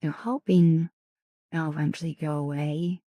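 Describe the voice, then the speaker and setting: A woman streamer chatting casually with viewers in a soft ASMR style, her voice raspy and deeper than usual, often slipping into whispers. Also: steady tone, measured pace, no echo. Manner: chatty, greets and thanks viewers.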